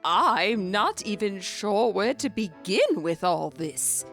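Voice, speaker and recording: A woman playing a stern, doubtful cookie companion in a fan cartoon drama, deliberate and reproachful. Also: medium pitch, sing-song, fairly bright tone, faint music behind, heavily acted. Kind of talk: scripted fan audio drama acting.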